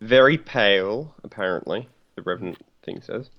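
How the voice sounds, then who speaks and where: A man's voice: lowish voice, lively intonation, normal speed, highs cut off. A man playing a tabletop roleplaying game, chatting casually in a terse, matter-of-fact way.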